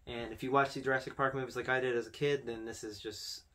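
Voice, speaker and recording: A man's voice: medium pitch, level tone, quick pace, sharp tone. A young man hosting a video with casual, informal commentary, talking conversationally to camera. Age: young adult. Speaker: video host. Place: small indoor room with posters.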